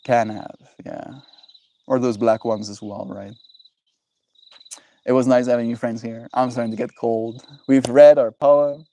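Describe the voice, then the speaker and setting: A man's voice: mid-range voice, steady tone, a little slow, long pauses, warm tone, poor audio. A young man chatting casually on his livestream, laid-back and encouraging, answering viewers and calling them bro.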